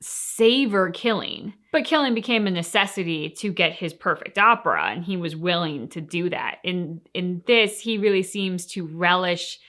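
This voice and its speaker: A woman's voice: lowish voice, animated, moderate pace, hardly any pauses, fairly bright tone. A woman co-hosting a game reaction show, talking casually and reflectively in an earnest, explanatory way.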